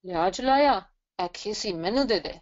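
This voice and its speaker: A woman's voice: mid-range voice, animated, normal speed, dull audio, natural voice. A woman with a Punjabi accent voicing a clever, bargaining male mouse in a folk tale, in sing-song rhyme. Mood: cheeky demanding. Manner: sing-song rhyming chant.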